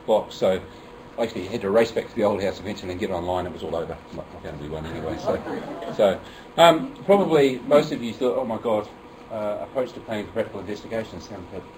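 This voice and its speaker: Fast-talking New Zealand man presenting to teachers. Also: lowish voice, far from the mic, noisy background. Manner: chatty and self-deprecating, many fillers and restarts. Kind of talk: workshop presentation. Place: room with an audience.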